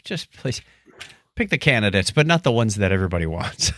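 A man's voice: lowish voice, sing-song, fairly quick, fairly bright tone. An American man co-hosting a podcast in casual chat, with a joking, teasing manner. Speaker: podcast co-host.

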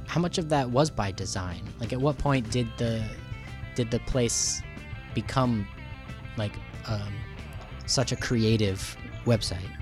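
A man's voice: medium pitch, takes it slowly, over music. A man hosting a podcast interview in an enthusiastic, rambling, conversational way. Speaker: podcast host.

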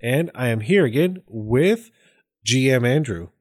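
A man's voice: mid-range voice, animated, measured pace. Casual male podcast host, effusive and rambling.

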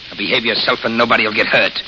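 A man's voice: fairly low pitch, steady tone, racing, bright, forceful tone. An actor playing a brash, menacing gangster's henchman in a 1930s radio drama, talking in tough, slangy orders. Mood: tough, threatening. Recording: muffled, noisy old radio broadcast.

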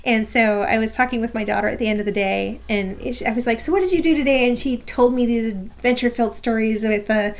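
Slightly hoarse animated woman podcaster. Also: on the high side, fast talker, few pauses. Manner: animated, laughs often, quotes people in voices. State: a little hoarse. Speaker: podcast co-host. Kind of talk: casual chat.

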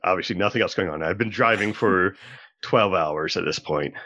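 A man's voice: low voice, normal speed, pushed and bright, no echo. A man telling anecdotes casually as a podcast guest, on dull, dry lo-fi audio. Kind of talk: casual storytelling chat.

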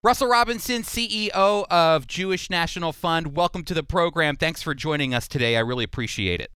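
Bright, higher-pitched male radio host. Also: fairly quick, clean tone, no echo, studio quality. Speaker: radio host and Bible teacher. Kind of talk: radio interview hosting.

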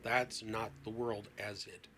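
A man talking as a therapist guest in an animated, conversational way, emphatic and given to acting out imagined dialogue. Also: fairly low pitch, takes it slowly, faint music behind.

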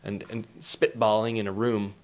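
A man's voice: on the low side, flat delivery, normal speed. A man speaking as an interview guest, explaining things in an emphatic, storytelling way with acted-out quotes.

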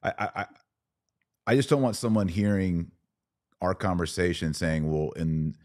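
Guy with a really deep low voice, podcast guest, kinda halting and earnest. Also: darkish tone. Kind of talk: conversational podcast discussion.

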